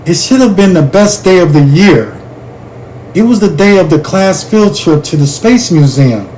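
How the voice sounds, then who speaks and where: A man reading a children's chapter book aloud in an animated, expressive way, speaking directly to the listener. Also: high voice, normal speed, slight background noise, rough recording.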